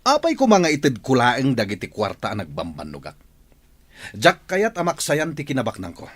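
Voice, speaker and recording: An actor playing a scheming, envious, rich village boss in an Ilocano radio drama, irritable and domineering, with an Ilocano accent. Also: male voice, medium pitch, wildly swooping pitch, normal speed, fairly bright tone, husky, no room sound, heavily acted. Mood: bitter anger.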